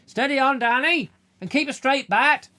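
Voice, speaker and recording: A man voicing an excitable old rustic village man with a broad country dialect, roaring out his words. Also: medium pitch, takes it slowly, no echo, voice pushed up.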